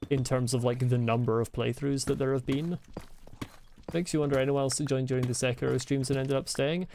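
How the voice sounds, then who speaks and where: A man's voice: medium pitch, fairly even intonation, brisk, vocal fry, a little mellow, a little hiss or hum. A man from Scotland with a mild Scottish accent, chatting loosely on a game stream and answering chat messages with rambling asides and chuckles. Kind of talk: casual live-stream chat while gaming.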